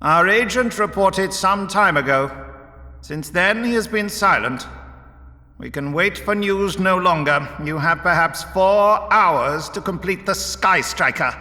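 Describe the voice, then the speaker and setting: A man's voice: sits high, animated, measured pace, bright, forceful tone, in a large hall, pitched above the performer's own voice, heavily acted. A man voicing an imperious, towering alien leader in a sci-fi audiobook, declamatory, commanding and emphatic. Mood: urgent, commanding.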